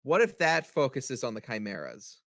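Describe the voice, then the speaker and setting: A man's voice: medium pitch, sing-song, normal speed, creaky, a bit bright, no echo, studio quality. A man chatting casually about manga as a co-host on a panel podcast.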